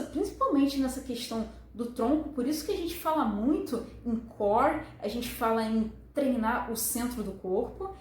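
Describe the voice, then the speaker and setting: A woman with a high voice explains step by step, walking through diagrams as a fitness instructor. Her manner is friendly and full of affectionate diminutives. The room around her is noticeably echoey. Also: a little mellow, a little hiss or hum. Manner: friendly, uses many diminutives.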